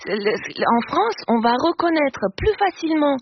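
A woman explaining on radio, with an expressive voice that rises and falls, often correcting herself mid-sentence as she works through ideas. The sound is a little dull, with faint background hiss. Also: high voice, moderate pace. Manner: lively, explains with many self-corrections. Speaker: linguist guest.

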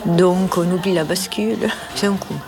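A woman's voice: fairly low pitch, steady tone, normal speed. A woman in her sixties from southern France with a Midi accent, talking about herself in an interview. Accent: southern French (Midi). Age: 60s. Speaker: hair salon client being interviewed. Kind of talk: personal interview. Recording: music playing loudly behind the voice. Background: music.

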